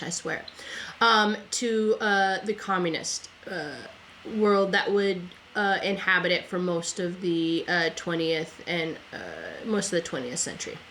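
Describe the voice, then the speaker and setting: Bright-voiced woman, chatty podcast host. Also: medium pitch, a little hiss or hum, low-quality recording. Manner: informal, chatty, frequent "right?" tags; stumbling, self-correcting, repeats words. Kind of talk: casual history storytelling from notes.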